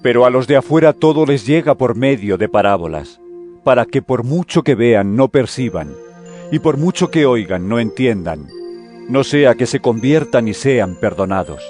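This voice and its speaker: A man's voice: mid-range voice, animated, a little mellow, close to an everyday voice. Solemn male preacher figure over music, acted. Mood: explaining gravely. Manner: solemn, deliberate, declamatory. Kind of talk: dramatized audio Bible acting. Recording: music under the voice.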